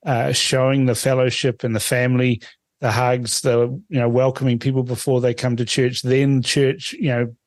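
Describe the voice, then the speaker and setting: A man's voice: mid-range voice, flat delivery, normal speed, no echo. A man from Fiji hosting a radio interview, asking long, chatty, rambling questions. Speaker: radio host.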